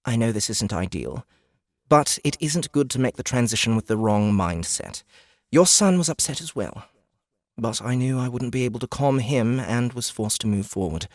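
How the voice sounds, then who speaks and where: A man's voice: mid-range voice, lively intonation, no echo, clean studio sound, pitched above the performer's own voice, natural voice. A man voicing a composed, formal female guide from another world in a fantasy audiobook, patient and faintly judgmental. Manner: measured, formal, explanatory.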